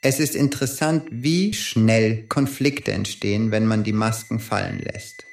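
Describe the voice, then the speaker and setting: A man's voice: fairly low pitch, measured pace, gravelly, slight background noise. A man playing a self-assured female Venus goddess and temple hostess in an audio drama, speaking formally and with composure. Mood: poised.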